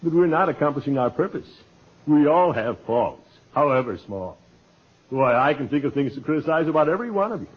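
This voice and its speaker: An actor playing a pompous, self-important middle-aged club leader with a chuckle in 1940s radio comedy. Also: male voice, fairly high pitch, animated, fairly quick, slightly rough. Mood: earnest, self-important. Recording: muffled old 1940s radio recording.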